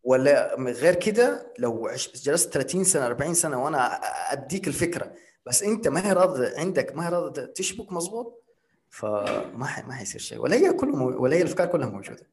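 Very rapid-talking man. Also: on the high side, sing-song, darkish tone. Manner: explaining with rhetorical questions, mixes in English terms. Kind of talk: casual podcast conversation.

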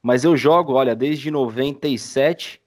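Mid-pitched man with São Paulo accent. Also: a little slow, darkish tone. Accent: São Paulo Brazilian. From São Paulo, Brazil. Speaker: podcast guest, audio editor. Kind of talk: casual chat.

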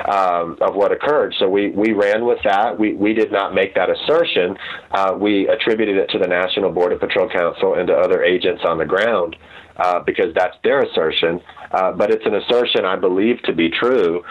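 A man giving an off-the-cuff news account as an interview guest, careful and halting, often repeating words. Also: sits low. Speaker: guest journalist, news editor. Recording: dull, lo-fi remote-guest audio.

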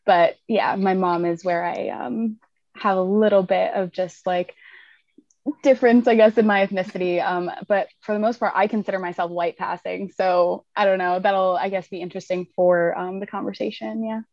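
A woman's voice: mid-range voice, steady tone, normal speed, no echo, highs cut off. A woman in her twenties chatting as a podcast guest, rambling with many fillers. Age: 20s. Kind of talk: casual group chat.

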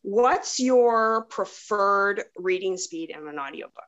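Mid-pitched woman hosting casually. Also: lively intonation, takes it slowly, fairly bright tone, muffled recording. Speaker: podcast host.

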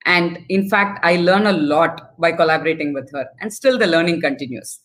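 A woman hosting a podcast interview, speaking in a formal, effusive manner. Her voice sits a little low for a woman. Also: normal speed, a bit bright. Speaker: podcast host.

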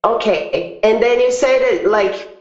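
A fairly high-pitched woman hosts the interview, asking loose follow-up questions. Her audio sounds muffled and lo-fi, and it echoes as if she is in a bare room. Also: measured pace. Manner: curious, asks rambling follow-up questions. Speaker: podcast host, coach. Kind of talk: casual interview chat. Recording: dull, echoey remote audio.

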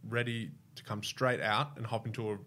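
Relaxed young Australian man, lowish voice. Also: moderate pace. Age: young adult. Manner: relaxed, rambling with restarts and fillers. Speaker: guest, staff member. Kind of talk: casual interview chat.

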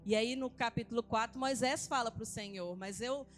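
A woman's voice: on the high side, fairly even intonation, normal speed, soft background music. A woman with a Brazilian accent preaching in an informal, conversational way at a church service, teaching from scripture with colloquial tag questions. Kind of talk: informal Bible teaching sermon, reading and retelling scripture.

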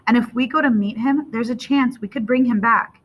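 Woman playing a teenage boy, storybook character, high and sharp, earnest, defiant, urgent. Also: a little fast, low-quality recording. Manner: urgent, argumentative, indignant.

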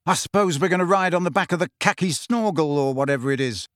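A man's voice: sits high, lively intonation, normal speed, no echo, clean studio sound, voice pushed up. A man voicing a hot-tempered, sceptical teenage boy in an audiobook, with a blunt, indignant way of talking. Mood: angry, indignant. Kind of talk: audiobook character voice.

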